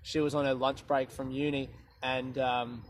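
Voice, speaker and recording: A comedian rambling through a sweary, self-mocking podcast monologue while walking outdoors. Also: male voice, mid-range voice, little pitch movement, moderate pace, slight background noise, rough recording.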